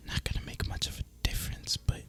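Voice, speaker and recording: A man speaking in a breathy, unvoiced whisper, rambling casually and in a relaxed way as he hosts an ASMR gaming video. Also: fast talker.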